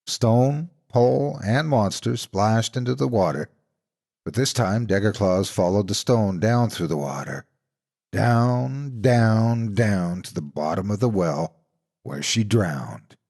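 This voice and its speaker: Deep-ish guy reading scary stories, deliberate and dramatic, voice swings up and down a lot. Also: expressive, a little mellow. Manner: deliberate, suspenseful storytelling. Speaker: horror story narrator. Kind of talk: dramatic scripted narration.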